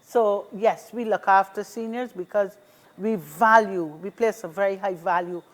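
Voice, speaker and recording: A woman's voice: medium pitch, unhurried. A woman in her sixties with a Barbadian accent, giving encouraging advice in a conversational interview, emphatic and repeating key phrases. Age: 60s. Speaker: interview guest, seniors' advocate.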